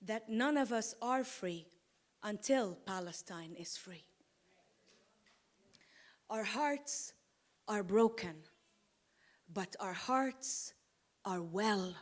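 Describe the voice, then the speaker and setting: A woman from Lebanon giving a slow, deliberate, impassioned award acceptance speech at a podium microphone on an auditorium stage. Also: medium pitch. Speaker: award-winning poet.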